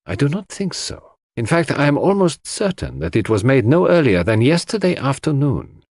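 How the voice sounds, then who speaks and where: A man plays a brisk, commanding detective in an audiobook mystery. His pitch is raised above a narrator's and swings widely as he questions precisely and formally, unshakeably sure of himself. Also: sing-song, vocal fry, darkish tone, dry recording, clean studio sound. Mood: assured.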